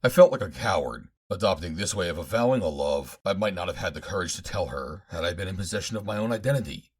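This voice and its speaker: A man's voice: sits low, expressive, fast talker, breathy edge. A man narrating a pulp adventure audiobook in the first person, in dramatic fashion.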